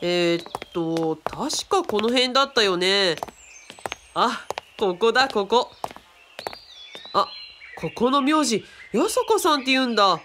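A woman's voice: fairly high pitch, expressive, takes it slowly, long pauses, bright, forceful tone, a little hiss or hum, exaggerated voice. A woman playing a cheeky, chatty young girl who gossips casually in a radio drama. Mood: musing.

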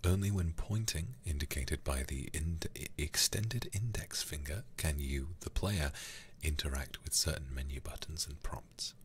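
A man livestreaming a game in an intimate ASMR style, hushed and often whispering close to the microphone. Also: extremely low pitch, unhurried, hardly any pauses. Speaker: livestream host playing a video game.

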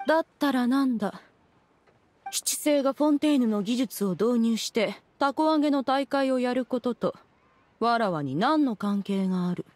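A woman's voice: fairly high pitch, lively intonation, normal speed, a little mellow, slight background noise, close to an everyday voice. A woman voicing a proud ancient immortal in an anime game, with archaic, imperious phrasing. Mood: haughty. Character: proud, haughty ancient immortal woman, elder demanding respect.

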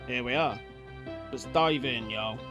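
A man's voice: medium pitch, unhurried, music in the background. A man in his thirties giving casual reaction commentary, musing aloud and talking informally to viewers. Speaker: reaction video host. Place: indoors, seated at a desk.

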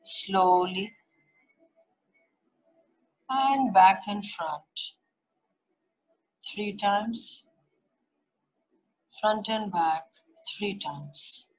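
A woman leading a guided meditation, giving gentle, unhurried instructions in short repeated phrases with long pauses. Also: mid-range voice, low-quality recording. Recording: music behind the voice. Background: meditation music.

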